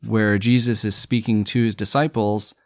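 A man on a Bible study podcast, explaining Greek word roots in a measured, teacherly way. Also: lowish voice, level tone, no echo. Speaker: podcast co-host.

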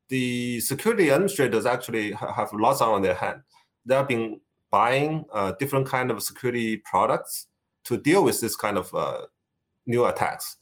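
A man in his fifties from China with a Chinese accent, a tech executive answering interview questions with many fillers and restarts. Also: mid-range voice. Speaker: guest, tech company executive. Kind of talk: conversational interview.

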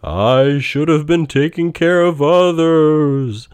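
Man putting on a chained ghost, slow, booming, drawn-out, raised pitch, dry sound. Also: smooth, no echo. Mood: warning. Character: chained ghost of a dead business partner, grave and warning.

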